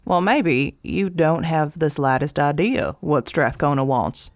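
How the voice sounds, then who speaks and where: An actress playing a folksy, wryly affectionate small-town horse owner in an audio drama, coaxing and unhurried in manner. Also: female voice, low voice. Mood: knowing, coaxing. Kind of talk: naturalistic audio drama acting.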